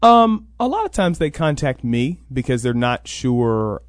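Man, mid pitch, interview guest, conversational, explains with quoted examples, dry sound. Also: very animated, measured pace, no echo. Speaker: interview guest, college NIL program coordinator. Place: radio sports talk show.

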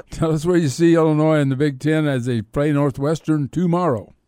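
Man, radio host, casual sports interview, dark mellow voice, close dry sound. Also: a little high, normal speed, smooth, dry recording.

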